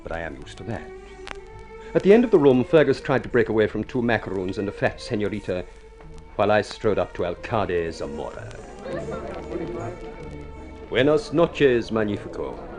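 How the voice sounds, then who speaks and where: Proud smooth-talking english gent, deep-ish, very theatrical, old radio show. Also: male voice, sing-song. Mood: suave, grand. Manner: grand, deliberate, theatrical delivery. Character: ugly, masterful, silver-tongued Englishman, suave and proud. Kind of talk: 1950s radio drama acting and narration. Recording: old radio recording with music.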